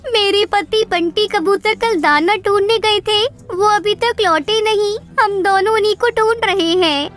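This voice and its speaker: Lady doing a teeny anxious girl bird, super high squeaky pitch, pleading, kids cartoon w soft music. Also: measured pace. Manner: pleading, earnest. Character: small female bird, anxious devoted wife. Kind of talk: children's cartoon voice acting.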